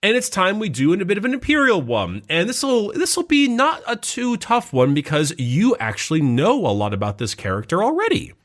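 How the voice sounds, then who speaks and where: A man's voice: high voice, wildly swooping pitch, fairly bright tone. Nasal man recovering from a cold. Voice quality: slightly nasal. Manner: rambling, halting, many restarts. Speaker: podcast co-host. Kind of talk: casual podcast chat.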